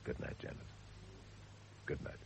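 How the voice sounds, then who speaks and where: An actor playing a polite, subdued married gentleman in a classic radio drama, reflective and restrained. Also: unhurried, natural voice. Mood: rueful, pensive. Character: suave, subdued married gentleman under suspicion, remorseful. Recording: noisy old radio recording.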